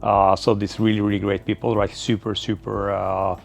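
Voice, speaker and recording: A man's voice: fairly low pitch, level tone, moderate pace, vocal fry, faint music behind. A man giving informal interview answers as a startup founder, in a hesitant, loosely phrased, rambling way. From Norway.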